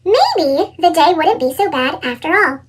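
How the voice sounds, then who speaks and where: A woman reading a children's storybook aloud in an animated style, her voice sped up with a chipmunk effect. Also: measured pace. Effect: sped-up chipmunk pitch shift. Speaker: storybook reader.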